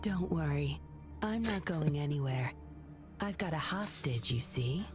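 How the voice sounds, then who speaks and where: A woman's voice: sits low, animated, unhurried, over music. An actress playing a cunning young woman double agent, teasing and coolly commanding. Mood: sly.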